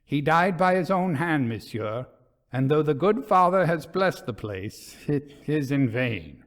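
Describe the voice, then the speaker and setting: A man's voice: on the high side, animated, unhurried. Man acting a grave, fearful old French caretaker. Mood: ominous dread. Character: old French caretaker, superstitious, mysterious and frightened. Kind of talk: storybook character in a ghost story.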